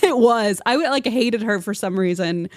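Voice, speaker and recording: A woman's voice: mid-range voice, animated, normal speed, no echo, clean studio sound. A woman in her thirties telling comic stories among friends in a dramatic, self-mocking manner. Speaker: podcast guest and storyteller.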